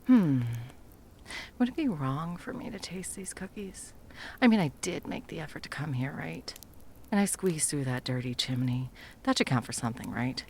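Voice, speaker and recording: A woman's voice: sits low, animated, normal speed, creaky, darkish tone, slightly rough, full character voice. A woman playing a frazzled, sarcastic, foul-mouthed Christmas delivery elf in a whimsical comedic ASMR roleplay, muttering and often dropping to whispers. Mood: self-justifying.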